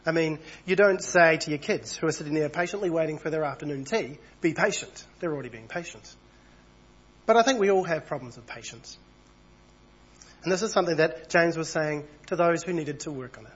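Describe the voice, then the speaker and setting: A man over thirty-five, husky from a cold, preaching an evening church sermon in a conversational way and reading passages aloud. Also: a little high, a little fast, muffled recording. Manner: conversational, reads passages aloud, small asides.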